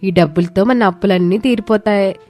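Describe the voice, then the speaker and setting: A woman's voice: medium pitch, a little fast, mellow, natural voice. A woman voicing a spirited young village daughter-in-law in a moral story, earnest and emphatic. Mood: proud, happy. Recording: music behind the voice.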